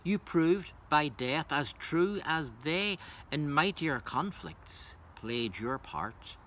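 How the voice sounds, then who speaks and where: A man speaking as a poetry reader, introducing and reciting verse in a measured way. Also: fairly high pitch, animated, fairly bright tone, slight background noise.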